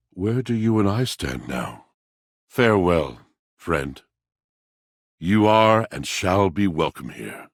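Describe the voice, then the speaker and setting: A courtly, melancholy poet of a talking machine from a video game, with a very low, gravelly voice. He savors each florid phrase slowly, in a formal, old-fashioned, theatrical manner. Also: no room sound, studio quality, exaggerated voice. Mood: solemn, wounded. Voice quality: gravelly, deep.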